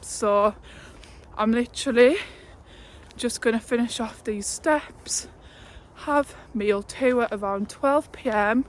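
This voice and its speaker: A young woman of twenty talks through her day in a relaxed, unhurried vlog, sprinkling in fillers and laughs. Her voice sits a touch high, and she sounds a little out of breath from walking uphill. A faint hiss of background noise runs beneath her. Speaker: fitness vlogger. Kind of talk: casual vlog talk to camera. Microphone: phone held at arm's length.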